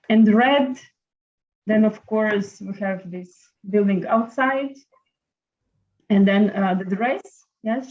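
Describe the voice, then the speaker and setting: A woman teaching a friendly live online painting class, chatting in an unhurried way with many fillers. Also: medium pitch, a little mellow. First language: Latvian. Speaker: art teacher. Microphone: laptop video call. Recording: slightly muffled video call audio.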